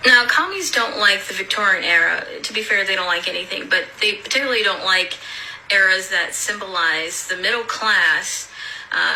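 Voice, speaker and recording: A woman's voice: medium pitch, bright, forceful tone, a little hiss or hum, low-quality recording. Indignant woman whose first language is Spanish. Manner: indignant, argumentative, point-by-point. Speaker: response video creator. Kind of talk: rebuttal commentary to camera.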